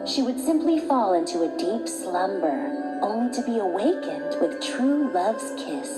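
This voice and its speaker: A woman's voice: medium pitch, very animated, measured pace. A woman reading a scripted fairy tale for children as a storyteller. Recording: background music.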